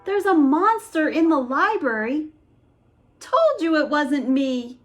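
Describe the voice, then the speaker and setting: A woman's voice: high voice, big pitch swings, slow pace, pitched above the performer's own voice, full character voice. A woman voicing plucky young brothers in a children's storybook, with an exclamatory manner. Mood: annoyed, alarmed.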